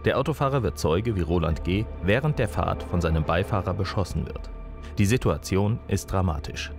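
Deep-ish male voiceover for tv crime report, dramatic, music clearly underneath. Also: expressive, normal speed. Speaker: TV report narrator. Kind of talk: scripted true-crime narration. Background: prominent music.

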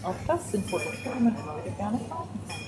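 A woman's voice: mid-range voice, very animated, quick pace. A woman chatting casually as she shops, recorded from a distance inside a supermarket. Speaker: shopper. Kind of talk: casual chat while shopping. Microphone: ambient recorder, away from speakers. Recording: distant, echoey, noisy supermarket ambience. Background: loud store noise.

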